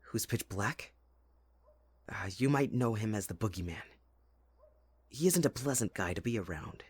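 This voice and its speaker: Husky, low-voiced winter spirit, acted by a man. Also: expressive, normal speed. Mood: uneasy, protective. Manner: teasing, dramatic, addressing the listener closely. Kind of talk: intimate ASMR audio roleplay acting.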